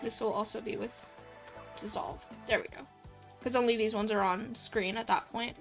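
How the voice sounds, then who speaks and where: A woman with a high voice hosts a casual livestream over background music. She thinks aloud in an informal, rambling way, a bit self-conscious as she goes. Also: steady tone, normal speed, gravelly, a bit bright. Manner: informal, rambling, self-conscious, giggly, mutters while working. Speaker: livestream host and visual novel writer. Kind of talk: casual livestream chat while coding and reading viewer comments. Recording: music playing behind the voice.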